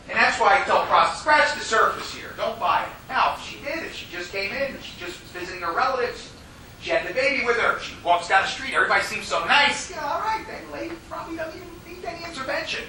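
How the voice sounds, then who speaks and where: A man's voice: sits high, bright, forceful tone. An American man giving an informal classroom lecture, talking in an emphatic, conversational way. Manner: emphatic, conversational, acts out quoted dialogue. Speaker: law lecturer teaching a class. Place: classroom, recorded from a distance. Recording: echoey room, distant mic, faint noise.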